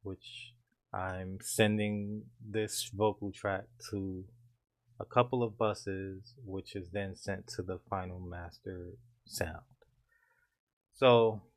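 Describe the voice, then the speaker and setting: Man, deep low voice, flat delivery, unhurried, home studio tutorial talk. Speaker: home studio rapper explaining his mixing setup. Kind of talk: casual screen-share tutorial.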